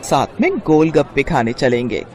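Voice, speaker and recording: A woman's voice: a bass voice, big pitch swings, normal speed, a little mellow, some chatter behind. A woman voicing a cheerful, friendly young woman who chats politely in a cartoon story.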